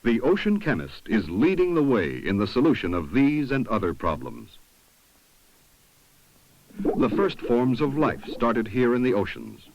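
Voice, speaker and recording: A man reading scripted 1970s documentary narration in a measured, instructive way, on an old film soundtrack. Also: medium pitch, wildly swooping pitch, lo-fi sound, slight background noise.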